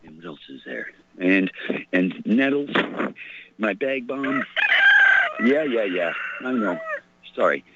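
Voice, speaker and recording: A man calling in to a radio show with a hoarse voice, rambling and distracted as he talks. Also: fairly low pitch, sing-song, takes it slowly, long pauses, heavy background noise. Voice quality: hoarse, husky. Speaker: caller. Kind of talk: phone call to radio show. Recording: muffled lo-fi phone line.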